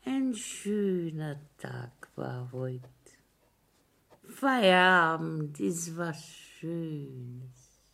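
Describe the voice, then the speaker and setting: Dragging drunk speech, bright tone, wife, dreamy, downtrodden, radio play. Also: female voice, low-pitched, animated, very slow, creaky, exaggerated voice. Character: tipsy, dreamy, downtrodden wife of a Bavarian businessman.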